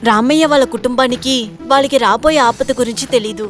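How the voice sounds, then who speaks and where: A woman narrator reading a scripted moral story for an animated video. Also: a little high, brisk.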